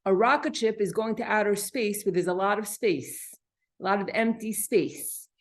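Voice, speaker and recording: A woman teaching an informal class, explaining word meanings haltingly, repeating and rephrasing her points and putting questions to her listeners. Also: mid-range voice. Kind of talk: informal spoken lesson explaining Hebrew vocabulary.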